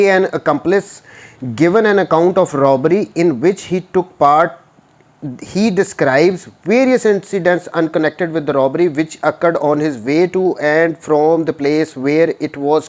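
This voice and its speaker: A man's voice: on the high side, a little mellow. A man with a Pakistani accent lecturing on written rules, explaining them in a didactic, informal way and rephrasing points simply. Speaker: law lecturer.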